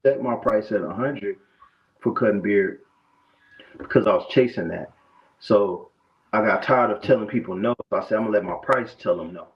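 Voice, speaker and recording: A man's voice: on the low side, moderate pace. A man, a barber telling his story in a loose, conversational way full of restarts. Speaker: barber guest. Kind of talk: casual interview talk. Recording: dull, muffled lo-fi sound.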